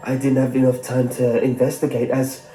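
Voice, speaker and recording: A man's voice: mid-range voice, completely flat delivery, moderate pace, dark tone, a little hiss or hum. A man reading a scripted first-person horror story as its narrator. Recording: echoey, clear music behind.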